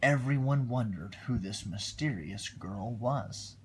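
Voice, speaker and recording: A man reading a bedtime story aloud to his child on a phone livestream, expressive with quiet asides. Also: medium pitch, takes it slowly, slight background noise.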